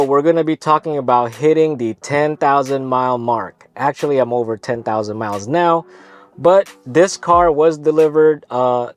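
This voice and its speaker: A man's voice: medium pitch, a little mellow. A man with a stuffy, congested voice, hosting his own video in an easygoing, rambling way. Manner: easygoing, rambling with self-corrections. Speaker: vlog host. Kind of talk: casual talk to camera.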